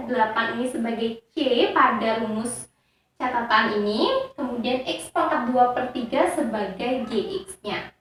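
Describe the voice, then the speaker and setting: A woman's voice: a little high, a bit bright. A woman teacher patiently working through math steps for students, often repeating herself and checking whether they understand. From Indonesia. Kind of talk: recorded math lesson for students. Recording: roomy echo, voice from far off.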